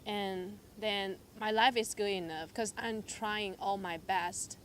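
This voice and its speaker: A woman's voice: medium pitch, slow. Young woman from taiwan, english speaker, personal testimony, lots of ums and likes, slight background noise. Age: young adult. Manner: hesitant, filler-heavy storytelling with lively quoted asides. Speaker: church member sharing testimony.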